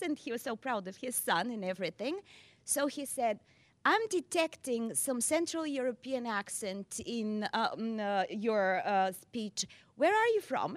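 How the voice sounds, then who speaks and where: Chatty woman, higher voice. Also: a bit bright, no room sound. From Bulgaria. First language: Bulgarian. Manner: chatty, self-deprecating, laughs often. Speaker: library director. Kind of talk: self-introduction at a staff gathering.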